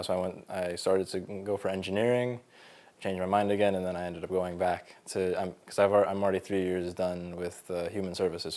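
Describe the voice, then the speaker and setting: A man in his twenties from Colorado, talking thoughtfully in a casual interview, pausing with fillers as he finds his words. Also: deep voice, a little mellow. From Colorado, USA. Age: 20s. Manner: thoughtful, hesitant, many fillers. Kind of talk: casual interview, personal story.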